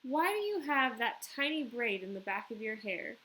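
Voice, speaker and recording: A woman's voice: a little high, sing-song, normal speed, sharp tone, a little hiss or hum. Woman reading as a nosy, sly schoolboy. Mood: prying, sly. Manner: pointed, questioning. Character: nosy, sly schoolboy who needles a classmate. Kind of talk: children's book read-aloud.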